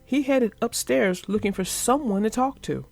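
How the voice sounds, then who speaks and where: A woman reading a children's storybook aloud as narrator, in an expressive storytelling manner. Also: fairly high pitch, normal speed, creaky, dry recording, faint music behind. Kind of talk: scripted storybook read-aloud.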